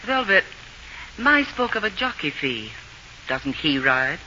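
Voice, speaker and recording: A dignified, wise mother in a 1940s radio play. She is firm and measured, with a very sharp, projected tone. The broadcast is band-limited and faintly hissy. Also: sits low, big pitch swings, highs cut off, full character voice. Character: steady, wise, quietly determined middle-aged mother.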